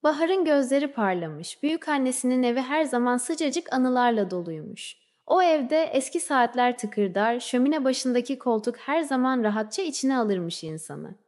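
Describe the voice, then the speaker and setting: A woman narrating a scripted children's fairy tale in a measured storybook way, letting phrases trail off softly. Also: sits high, animated. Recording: long reverberant hall-like echo.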